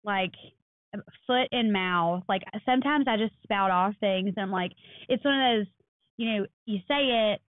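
A woman in her thirties co-hosting a podcast, telling stories in a quick, animated way. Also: medium pitch, no room sound. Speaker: podcast co-host. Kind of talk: casual chat. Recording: dry, phone-like lo-fi sound.

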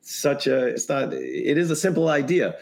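A man's voice: mid-range voice, animated, normal speed, creaky, a little hiss or hum. A man talking casually as a podcast guest, brainstorming ideas in a rambling way with jokey asides. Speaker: podcast guest, creative compliance consultant.

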